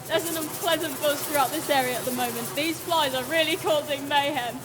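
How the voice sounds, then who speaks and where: A woman's voice: sits high, steady tone, fairly quick, lightly acted. A woman playing a flustered on-the-scene correspondent, giving scripted mock news reports in a dramatic, plaintive manner. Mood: distressed, plaintive. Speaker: field reporter. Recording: reverberant, noisy ambient field sound.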